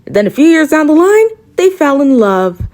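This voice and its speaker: A woman's voice: sits high, big pitch swings, normal speed, darkish tone. A woman narrating a fairy tale in a casual, chatty way with playful asides. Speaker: storyteller.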